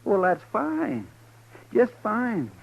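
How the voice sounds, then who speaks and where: An actor playing a simple, eager frontier sidekick with a stammering, deferential drawl, in a 1950s radio drama. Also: male voice, sits high, wildly swooping pitch, very slow, creaky, exaggerated voice. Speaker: deputy's sidekick. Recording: dull old radio recording with faint hiss.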